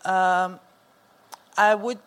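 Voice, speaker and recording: A woman's voice: mid-range voice, fairly even intonation, extremely slow, clear, resonant tone. Female, informal and conversational panel speaker. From Antwerp, Belgium. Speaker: event organiser and spoken-word poet on a panel. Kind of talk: casual spoken discussion.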